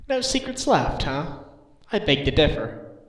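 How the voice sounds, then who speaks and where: A man's voice: a little high, big pitch swings, unhurried, no echo. A man voicing a wry, determined defense attorney in a video game fandub, questioning assertively with dry asides. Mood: challenging. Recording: amateur home recording under game music.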